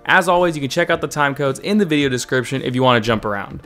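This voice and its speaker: A man's voice: mid-range voice, lively intonation, quick pace, fairly bright tone. A man hosting a scripted video update as a game developer, speaking in an upbeat, informal way over music.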